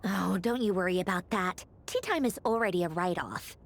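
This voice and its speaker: A woman's voice: lowish voice, normal speed, noisy background, close to an everyday voice. A woman playing a haughty, irritable young noblewoman in a video game, clipped and sarcastic. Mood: scornful.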